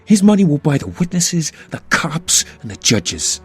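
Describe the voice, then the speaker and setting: An actor playing a cocky, hot-headed district attorney in a comic-book audio drama, husky, sardonic and impatient. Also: male voice, on the high side, big pitch swings, moderate pace, no echo, soft background music, exaggerated voice. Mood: indignant.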